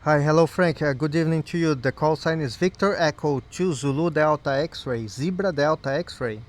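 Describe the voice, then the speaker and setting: A man's voice: a little high, normal speed, a little hiss or hum. A man operating amateur radio, chatting casually in a friendly way and spelling things out phonetically. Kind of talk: casual two-way ham radio chat.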